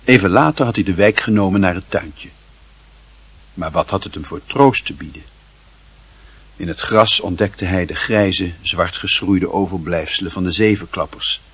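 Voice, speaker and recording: A man narrating a radio play in a literary style, with measured, dramatic phrasing. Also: sits low, fairly bright tone, slightly rough, no room sound.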